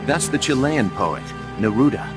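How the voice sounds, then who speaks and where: An actor playing a sharp, suspicious American second-in-command in a military video game, earnest and questioning. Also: male voice, medium pitch, very animated, moderate pace, over loud music, close to an everyday voice. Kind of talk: dramatic video game voice acting.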